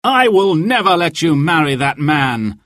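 A man's voice: a little high, very animated, a little slow, a bit bright, no echo, clean studio sound, voice pushed up, full character voice. A man voicing a bold, caring male outlaw leader in a storybook, emphatic and heartfelt. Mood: resolute. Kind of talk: storybook character voice.

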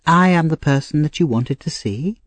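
Man voicing a gentle young lady, soft-spoken, kind, close and dry. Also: a little high, expressive, normal speed, no echo, pitched above the performer's own voice.

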